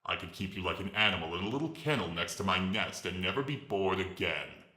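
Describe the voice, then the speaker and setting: A man voicing a huge old scaly pterosaur monster in a dramatic reading, raspy and ragged, with slow, mocking, grandiose menace. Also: low voice, animated, pushed and bright, echoey room. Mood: gloating, taunting. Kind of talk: dramatic reading of fan fiction.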